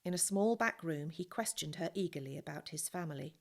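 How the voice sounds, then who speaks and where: Woman reader, low and gravelly, steady expressive storytelling. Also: fairly quick. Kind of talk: reading a novel aloud.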